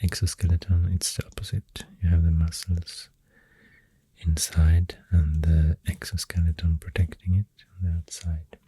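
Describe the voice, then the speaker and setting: Very low, hushed Swedish-accented ASMR voice. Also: flat delivery, no room sound. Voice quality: breathy, half-whispered. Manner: hushed, hesitant, pausing mid-thought. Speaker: ASMR host. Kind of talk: soft-spoken ASMR rambling. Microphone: close ASMR microphone. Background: pencil writing sounds.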